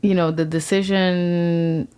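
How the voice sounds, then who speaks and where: A woman's voice: fairly low pitch, flat delivery, slow pace, dark tone, clean tone. A woman hosting a podcast, introducing her guest and asking curious questions. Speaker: podcast host.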